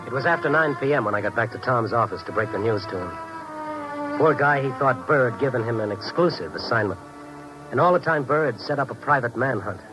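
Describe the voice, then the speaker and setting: A man's voice: medium pitch, lively intonation, fairly quick, lightly acted, low-quality recording. A hardboiled, inquisitive narrator-hero played by a male actor from 1950s radio noir. His mid-range voice is punchy and wry, heard on a vintage broadcast with prominent music behind. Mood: tense, brooding.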